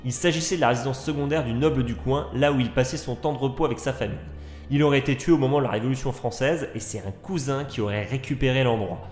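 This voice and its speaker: A man acting as a keen urban explorer in an audio drama, curious but cautious. He talks casually and informatively at a medium pitch. His voice rings in an echoey room, with music underneath. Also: fast, a little mellow, lightly acted. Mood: intrigued, informative. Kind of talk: French audio drama, naturalistic dialogue. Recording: roomy echo, music underneath.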